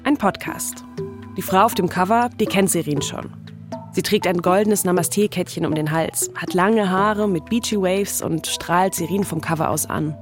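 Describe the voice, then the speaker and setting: A woman's voice: on the low side, moderate pace. A woman podcast host, reading scripted storytelling narration. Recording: music playing behind the voice. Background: music.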